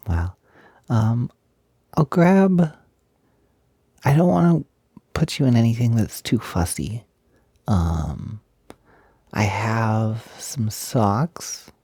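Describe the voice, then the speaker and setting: Male actor as gentle young roommate, low mellow voice, breathy, hesitant, reassuring, intimate audio roleplay. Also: no room sound, clean studio sound. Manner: soft, hesitant, giggly, reassuring. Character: gentle, enthusiastic young male roommate who loves frilly clothes.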